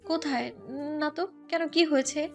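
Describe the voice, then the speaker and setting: A woman plays a young bride in a romantic audio drama. Her voice is high, soft and bashful, with a flustered hesitance and a gentle streak of defiance. Faint music plays behind her. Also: moderate pace. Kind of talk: romantic audio story acting.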